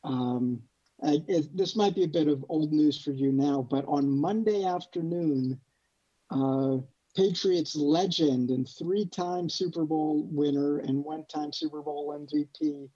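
A man's voice: a little high, a little slow, dark tone. A young man hosting a campus sports report in a casual, conversational style, on dull audio. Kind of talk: casual sports show introduction.

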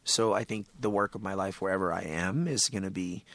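A man whose native language is Tagalog, speaking as an author guest in a casual interview, animated and emphatic, often laughing. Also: deep voice, a little fast, gravelly, dry recording. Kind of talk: casual conversation.

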